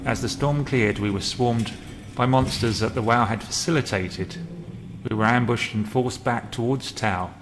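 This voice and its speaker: A man's voice: mid-range voice, normal speed. A man narrating a game role play and reading journal entries aloud. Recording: loud background noise.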